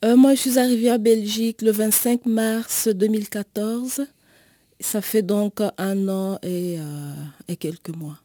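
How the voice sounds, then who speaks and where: A woman with a Congolese accent, an interview guest explaining her experience in a measured way with hesitant pauses. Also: medium pitch, steady tone, dark tone. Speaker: nun and volunteer, interview guest.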